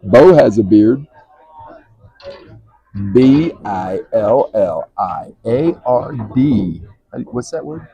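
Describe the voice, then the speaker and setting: Man, pool commentator, dark mellow tone, husky, jokey and loose. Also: medium pitch, expressive, drawn-out words, no room sound, dull audio. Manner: jokey, swears freely, laughs often. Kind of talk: casual commentary chat. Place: pool hall.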